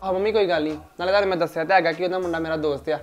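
A man playing a quick, agreeable village son. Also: high voice, fairly even intonation, close to an everyday voice. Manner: agreeable, chatty. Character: agreeable village son sent to find a match. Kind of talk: village comedy sketch acting.

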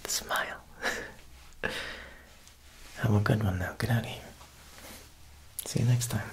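A young man playing a smooth, menacing Italian mob boss tailor in a whispered ASMR roleplay, coaxing and deliberate with veiled threat. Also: fairly low pitch, steady tone. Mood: politely menacing. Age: young adult. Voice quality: breathy whisper with creaky edges. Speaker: ASMR performer.

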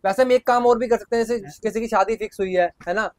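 A man's voice: high voice, fast talker, a little mellow, no room sound, clean studio sound. A man vlogging in a small indoor shop, eagerly questioning the seller and repeating prices back with lots of approval. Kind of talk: enthusiastic market vlog, questioning a seller.

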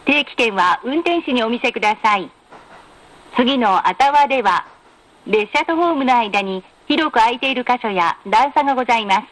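Formal high-voiced woman making train announcements. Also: lively intonation, a bit bright. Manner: formal, polite, measured enunciation. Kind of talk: scripted automated in-car announcement. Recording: noisy train announcement recording.

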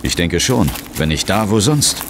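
Very deep-voiced resolute ghost hunter. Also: male voice, big pitch swings, unhurried, background noise. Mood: wry, curious. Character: resolute, dry-witted male ghost hunter hero. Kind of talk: audio drama acting with first-person narration. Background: music.

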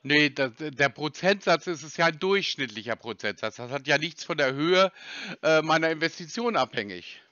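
Bright-voiced man baiting a phone scammer. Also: fairly high pitch, animated, normal speed, few pauses, no room sound, muffled recording. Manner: probing, mock-naive, argumentative. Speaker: scam baiter posing as a customer. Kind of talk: prank phone call.

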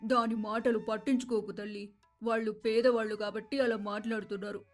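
A woman's voice: a little high, level tone, a little fast, soft background music, lightly acted. A woman voicing a rich, doting middle-aged mother, soothing and insistent, in a moral story. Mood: concerned, reassuring. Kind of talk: dramatized moral story voice acting.

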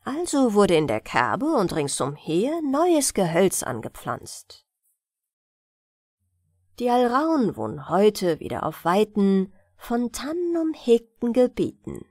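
A woman narrating a fairy tale as a bedtime audiobook, in an expressive, measured storytelling manner. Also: mid-range voice, dry recording, studio quality.

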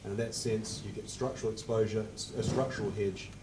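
A man in his forties answering on a conference panel at a table mic, measured and technical, his phrases fading at the ends. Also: on the low side, steady tone, noisy background. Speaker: panelist, fund manager. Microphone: table mic on stand.

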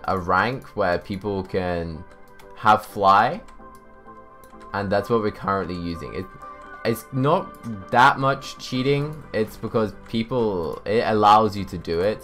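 A young man with a fairly low voice streams a game from a room at home. He thinks out loud in a casual, chatty way and reads comments from viewers. Music plays behind him. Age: young adult. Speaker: livestreamer. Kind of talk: casual live gaming commentary, reading chat aloud. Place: indoors, small home room.

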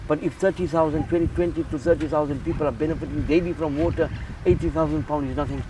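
A man's voice: a little high, fairly even intonation, fast talker, far from the mic, noisy background. A man from Zimbabwe who runs a charity, talking earnestly in a casual interview and often repeating words as he restarts. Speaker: charity founder.

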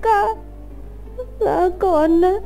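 A woman's voice: extremely slow, warm tone, faint music behind. A woman voicing a timid, whiny, heartbroken schoolboy in a cartoon fan drama, hesitant and pleading. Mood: desperate sorrow.

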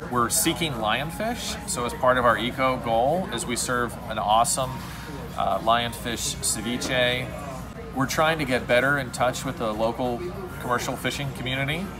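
A man's voice: mid-range voice, fairly even intonation, normal speed, over music. A man in his forties, a restaurant owner, talking earnestly to a phone camera at home, gesturing as he explains. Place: home room indoors. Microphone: phone camera close up.

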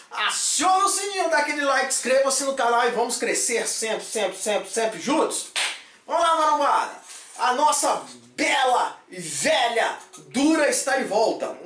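A man's voice: very high voice, a bit bright, recorded from a distance. A man in his thirties hosts a casual fitness vlog in a small room, talking animatedly and tossing in jokey catchphrases. His voice sits back from the mic. The room rings with echo. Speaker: fitness supplement YouTuber. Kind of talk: energetic casual vlog chat. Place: small room with shelves of supplements.

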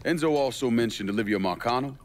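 An actor playing a grim, resolute avenger, terse and deliberate, in a crime game. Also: male voice, mid-range voice, darkish tone, a little hiss or hum, natural voice. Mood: cold resolve. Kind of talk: video game drama acting.

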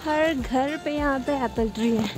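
A woman's voice: high-pitched, measured pace, rough recording. A woman in her thirties filming a casual family travel vlog outdoors on a phone held at arm's length, chatting to viewers and pointing out the sights. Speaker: family vlogger. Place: outdoors on streets and in a hotel garden. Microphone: phone camera held at arm's length. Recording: background music over the voice.

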